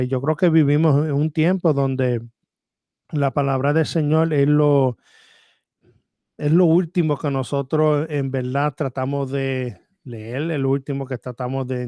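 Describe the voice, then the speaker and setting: A man, a Bible teacher, talking as a podcast guest in halting, word-searching Spanish that he says is rusty. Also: medium pitch, a little mellow, no room sound, clean studio sound. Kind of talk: podcast interview testimony.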